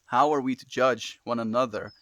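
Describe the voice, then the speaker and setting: A relaxed South African man chatting casually on his live stream, musing aloud and answering viewer comments with easy little laughs. His voice sits at an ordinary middle pitch. It is recorded close and dry, with almost no room sound. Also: moderate pace, no room sound. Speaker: livestream host.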